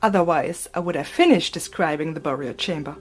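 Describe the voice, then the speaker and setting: A man's voice: a little high, fairly quick, faint music behind, lightly acted. A woman doing a flustered apologetic man. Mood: sheepish. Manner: sheepish, rambling.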